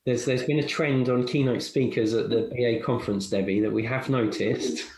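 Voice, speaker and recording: A man hosting a podcast, talking in a thoughtful, halting way that often repeats words. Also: mid-range voice, fairly even intonation, a little mellow. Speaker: podcast host. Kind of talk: casual podcast chat. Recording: echoey room.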